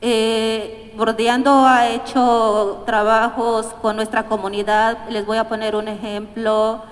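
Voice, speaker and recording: A woman from Mexico with a Mexican Spanish accent addresses a museum audience, hesitant and a little nervous, with frequent fillers. Her voice sits somewhat high. The sound carries the long tail of a large hall. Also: flat delivery, measured pace. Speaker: community volunteer panelist. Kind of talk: panel remarks in Spanish. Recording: echoey hall with long reverb.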